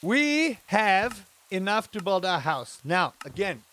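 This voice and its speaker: Animated man streaming gameplay to chat. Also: high voice, slow, slight background noise. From England. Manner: animated, rising to excited exclamations; chatty, addresses chat directly.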